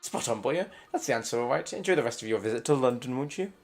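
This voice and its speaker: A man's voice: mid-range voice, animated, fast, faint music behind. A man doing a teasing Welsh local. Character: teasing Welsh man, fond of calling people boyo.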